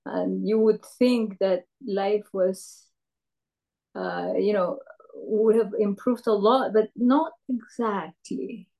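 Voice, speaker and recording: An older woman from Peru, a retired professor, recalling her career in an oral history interview, speaking thoughtfully with many pauses and fillers. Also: mid-range voice, fairly even intonation, creaky, warm tone, rough recording.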